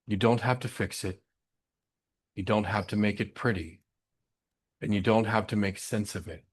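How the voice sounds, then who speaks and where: A man guiding a breathing meditation in a gentle, unhurried way, leaving long pauses between short instructions. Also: on the low side, fairly even intonation, breathy edge. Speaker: meditation guide.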